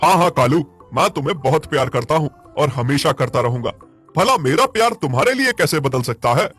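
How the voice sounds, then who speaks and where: A man's voice: on the high side, lively intonation, fairly quick, a bit bright, breathy edge, faint music behind. A man voicing a kindly, fatherly village cattle owner in a cartoon story, in an emphatic way. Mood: affectionate.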